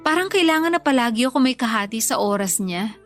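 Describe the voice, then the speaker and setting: Female lead for radio drama: devoted, insecure girlfriend, fairly high voice, light music underneath. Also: animated, fast talker, a bit bright. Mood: troubled, jealous. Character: insecure, devoted young woman in love. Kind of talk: Tagalog radio drama acting.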